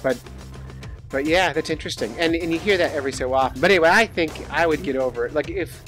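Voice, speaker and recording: A man with a slightly high voice hosts a casual podcast. He talks informally and thinks aloud, with a joking touch as he works through news items. Music is audible with his voice. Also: quick pace, a bit bright. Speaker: podcast host.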